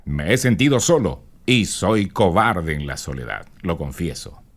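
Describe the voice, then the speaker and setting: An actor playing a suave, candid male film star answering in a dramatized magazine interview, with measured, courtly phrasing. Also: low-pitched, very animated, exaggerated voice.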